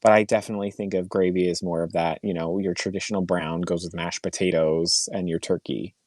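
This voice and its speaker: Man, podcast co-host, lowish voice, measured and hedging, dry close sound. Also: fairly even intonation, a little mellow, dry recording. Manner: measured, hedging, many fillers. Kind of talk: casual chat.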